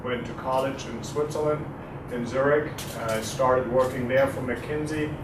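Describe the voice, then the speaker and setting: Middle-aged German-accented lecturer, hesitant and chatty, recorded from afar in a noisy room. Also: male voice, medium pitch, unhurried. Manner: relaxed, hesitant with many fillers, quizzes audience. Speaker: guest lecturer. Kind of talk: university talk with audience questions. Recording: echoey room, distant, noisy.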